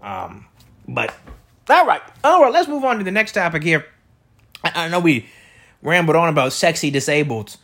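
A man with a loud, projecting voice rambling through a comedic solo monologue as a podcast host, repeating himself and tossing out rhetorical questions. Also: fairly high pitch, big pitch swings, moderate pace, pauses often. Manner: repetitive, riffing with catchphrases and rhetorical questions. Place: indoor room beside an open window.

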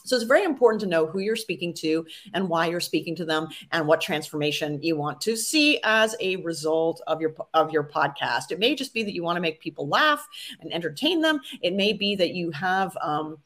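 A woman's voice: on the low side, expressive, a little fast, hardly any pauses, fairly bright tone. A middle-aged woman giving earnest podcasting advice in an interview. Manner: earnest, advising, with laughs. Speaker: podcast guest, podcasting coach. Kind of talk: interview answers.

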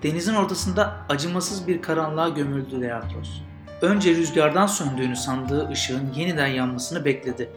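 Higher-pitched man storyteller in a roomy echo. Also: normal speed. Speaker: podcast host and storyteller. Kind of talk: casual intro and narrated legend storytelling. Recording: roomy echo with guitar music.

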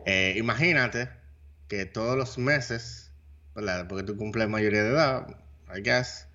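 A man's voice: fairly low pitch, a little slow, pushed and bright, lo-fi sound, a little hiss or hum. A man with a Dominican accent co-hosting a podcast, chatting loosely and dropping English words into his speech. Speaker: podcast co-host. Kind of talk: casual chat.

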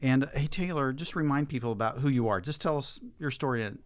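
A man's voice: medium pitch, a little fast. A man talking informally as a pastor and podcast host in a conversational interview.

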